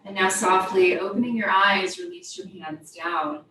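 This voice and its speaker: A woman teaching yoga, guiding a body scan with gentle, invitational step-by-step cues. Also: medium pitch, unhurried. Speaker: yoga instructor. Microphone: distant microphone. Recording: very echoey room, distant mic, faint noise.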